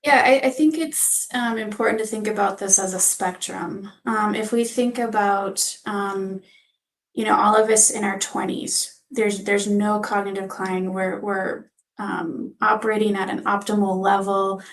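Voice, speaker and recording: A woman guest on a panel explaining things informally as a health professional, in measured phrases with frequent pauses. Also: medium pitch, creaky, rough recording. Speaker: physician assistant, panel guest.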